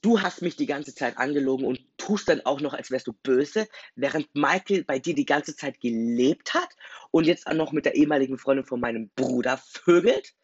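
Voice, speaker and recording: A man voicing an enraged young man who has just become a vampire, shouting accusations in a husky, gravelly voice. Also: on the low side, flat delivery, brisk, no room sound. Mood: furious. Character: furious young man newly turned vampire. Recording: dull dry recording, faint music.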